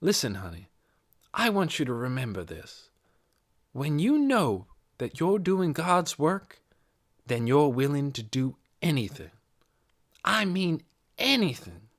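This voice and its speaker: Man as a pious, honeyed small-town matron, lifted pitch. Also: big pitch swings, heavily acted. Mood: solemn, approving. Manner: deliberate, honeyed, solemn. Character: pious, intense older small-town woman, sweetly controlling. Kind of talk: audiobook character voice.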